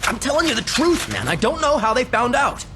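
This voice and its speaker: An actor playing an edgy young slacker gang member in a video game, slangy and defensive. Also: male voice, very high voice, huge pitch swings, a little fast, pushed and bright, exaggerated voice. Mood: pleading defensive. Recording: clear background noise.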